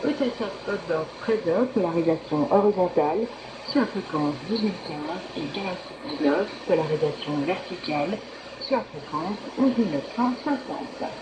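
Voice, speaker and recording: A woman radio presenter reading a scripted news bulletin in a formal, measured manner, heard over shortwave radio. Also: lowish voice, expressive. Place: broadcast heard through a shortwave receiver. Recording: noisy, echoing shortwave radio reception.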